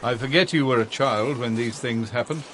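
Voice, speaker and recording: An actor playing a worldly, courtly middle-aged smuggler and fond lover in a radio drama. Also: male voice, mid-range voice, moderate pace, slight background noise, lightly acted. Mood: tender, earnest. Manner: courtly, expressive.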